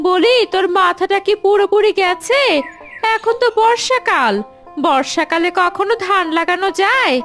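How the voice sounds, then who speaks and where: Really high cartoon mom, exasperated, nagging, bit of music behind. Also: female voice, normal speed, fairly bright tone. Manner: scolding, nagging. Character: poor, exasperated village mother, scolding and worried. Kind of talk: animated fairy-tale dialogue.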